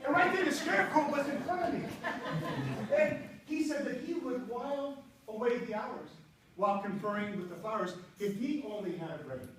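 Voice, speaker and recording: A man in his sixties giving an animated, humorous speech to an audience from a stage, now and then breaking into bits of song. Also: very high-pitched, normal speed. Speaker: contest speaker. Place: auditorium stage before an audience. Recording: echoey hall from far off, faint hiss.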